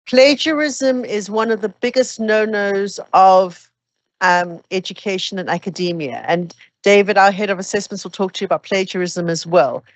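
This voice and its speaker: A woman explaining academic procedures to new students at an orientation, steady and practical with some fillers. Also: medium pitch, moderate pace, no room sound. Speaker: orientation presenter.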